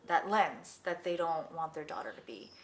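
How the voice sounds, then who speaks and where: A woman's voice: low-pitched, animated, moderate pace. Thoughtful woman in an echoey room. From Vietnam, raised in Los Angeles. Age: 40s. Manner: thoughtful, with frequent restarts. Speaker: artist interviewee. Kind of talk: reflective oral history interview. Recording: echoey room, faint background noise.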